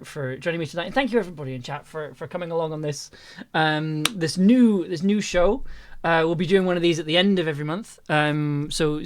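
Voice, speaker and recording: A livestream host chatting casually and a little hesitantly, often repeating phrases while wrapping up a show. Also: mid-range voice. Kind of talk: casual chat, closing out a livestream.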